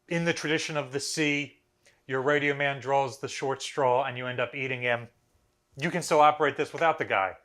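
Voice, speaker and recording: An American man in his thirties hosts an informal explainer. His voice sits at a middle pitch with a bright, forceful edge. He talks casually to the camera, with a wry, dry sense of humour. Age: 30s. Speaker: museum video host. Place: small bare indoor room.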